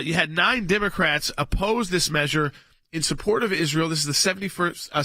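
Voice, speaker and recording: Bright-voiced man hosting talk radio. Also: fairly high pitch, no echo. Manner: conversational, banters with co-host, reads out lists. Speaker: radio talk show host. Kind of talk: casual political talk radio.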